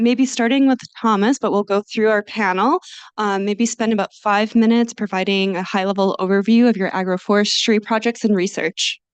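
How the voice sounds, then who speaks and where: A young woman moderating a panel discussion in a measured way, with some fillers. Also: mid-range voice, clean tone, no room sound.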